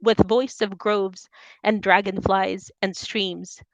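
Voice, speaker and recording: A woman's voice: medium pitch, level tone, slow, no room sound, rough recording. A woman from Soviet Ukraine speaking as a poet at a reading, presenting her own work. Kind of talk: poetry reading with informal introductions.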